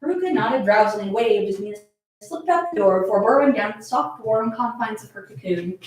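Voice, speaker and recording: A woman with a fairly high, very lively voice reads stories aloud and chats casually in between. She is prone to giggling, and she sounds distant in a very reverberant room. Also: fast. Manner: giggly, breaks into laughter while reading. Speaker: host reading fan fiction aloud. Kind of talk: dramatic reading with casual banter. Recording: very echoey room, distant from mic.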